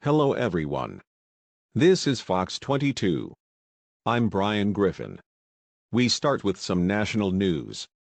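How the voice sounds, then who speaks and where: A man's voice: on the low side, big pitch swings, slow, creaky, no echo, full character voice. A man playing a local TV news anchor in an amateur drama, reading scripted stories. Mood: matter-of-fact. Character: male local TV news anchor, easily baffled.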